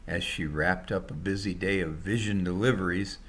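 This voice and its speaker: A man's voice: sits low, fairly even intonation, moderate pace. A man reading his own written story aloud as a scripted narration. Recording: noticeable background noise.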